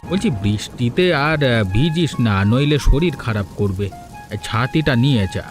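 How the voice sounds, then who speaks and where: Man acting a benevolent headman, mid-pitched, modest, unhurried, cartoon fairy tale. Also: lively intonation, over music, natural voice. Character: kindly, modest village headman.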